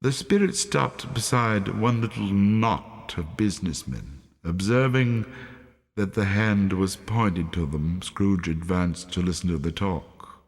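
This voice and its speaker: A man's voice: sits low, slow pace. A man reading a story aloud as dramatic audiobook narration, recorded with a long hall-like reverb. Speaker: audiobook reader.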